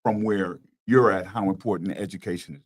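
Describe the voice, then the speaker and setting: A man hosting a talk show and interviewing guests in a studio, animated and casual, often restarting his words. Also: low-pitched, a little fast, slightly rough. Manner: animated, laughing, stumbles over restarts.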